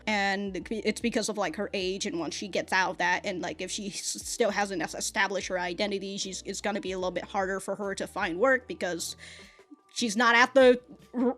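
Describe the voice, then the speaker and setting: A woman's voice: mid-range voice, fairly bright tone. A woman hosting an anime reaction, chatting casually, laughing often and stumbling over repeated words.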